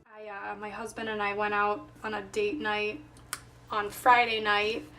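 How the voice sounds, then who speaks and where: A woman with a slightly hoarse voice, casually narrating her craft work and thinking aloud as she goes. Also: medium pitch, completely flat delivery, distant mic, loud music behind the voice. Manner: unhurried thinking aloud while working. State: slightly hoarse, voice not recovered. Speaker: planner vlogger.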